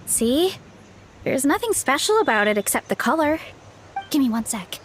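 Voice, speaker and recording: High, lively young farm woman. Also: normal speed, a little hiss or hum. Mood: easygoing. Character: friendly young woman, easygoing food-loving mushroom farmer. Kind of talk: animated game character dialogue.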